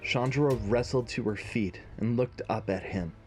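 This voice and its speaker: A man narrating a scripted fantasy story in a measured, dramatic way. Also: medium pitch, a little mellow, soft background music.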